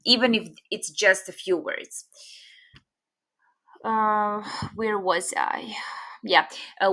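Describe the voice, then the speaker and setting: Young Serbian-native woman, bright tone, patient English teacher full of ums. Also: mid-range voice. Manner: patient, explains slowly with many fillers. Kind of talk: casual online grammar lesson.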